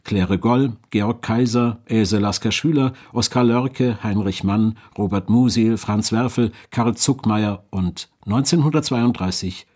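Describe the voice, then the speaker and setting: A man's voice: lowish voice, measured pace, hardly any pauses, darkish tone. A man reading scripted historical narration as a documentary narrator.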